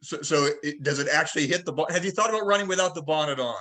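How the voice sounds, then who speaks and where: Bright, forceful-voiced man moderating a discussion. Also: a little high, racing. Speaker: conference call moderator. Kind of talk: technical club discussion.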